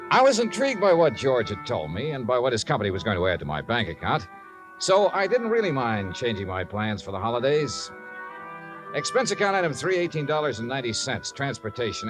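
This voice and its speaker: A man's voice: mid-range voice, natural voice. An American man playing a wry, breezy, wisecracking insurance investigator in a 1950s radio drama, with punchy delivery. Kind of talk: 1950s radio detective acting and narration. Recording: 1950s radio recording, music behind.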